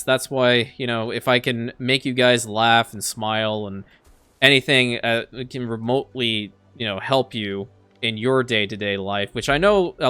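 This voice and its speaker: A man whose first language is Farsi talks through a city-building game in a relaxed, chatty way. His voice is bright and forward, and it often stumbles into a repeated word before he carries on. The sound is close and dry, with no room echo. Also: medium pitch, clean tone. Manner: chatty, repeats words as he restarts sentences. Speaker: let's play creator. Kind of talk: casual gameplay commentary.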